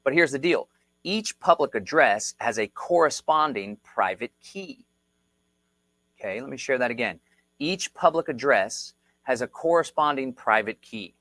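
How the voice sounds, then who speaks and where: Energetic livestream host, man, mid pitch, dry close sound, rallying and repetitive delivery. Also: unhurried, dry recording. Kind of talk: casual livestream explainer. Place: Texas.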